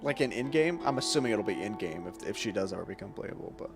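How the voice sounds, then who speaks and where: A young man streaming a game with casual, joking, slangy commentary and quick reactions. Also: lowish voice, animated, over music. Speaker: gaming streamer.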